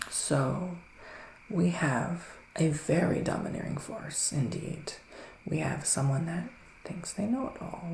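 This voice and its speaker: Soft creaky man as a whispery psychic witch. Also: on the high side. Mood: conspiratorial. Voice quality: soft, breathy, often slipping into whispers. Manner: hushed, unhurried, musing, with dramatic pauses. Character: gentle, gloomy male psychic witch reading tarot in the woods. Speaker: ASMR performer, tarot reader. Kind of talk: ASMR roleplay tarot reading. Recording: roomy sound with faint background noise.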